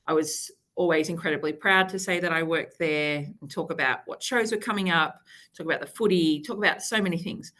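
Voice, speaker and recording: A woman's voice: low-pitched, brisk, fairly bright tone. A woman guest in a podcast interview, chatty and upbeat, laughing as she talks. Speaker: guest, company executive. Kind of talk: casual interview chat.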